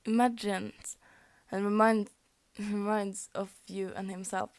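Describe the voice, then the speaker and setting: A woman's voice: mid-range voice, flat delivery, slow, no room sound. A young woman whose first language is Norwegian, reading fan fiction aloud, often tripping over words and laughing at her slips. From Norway. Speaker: fanfic reader.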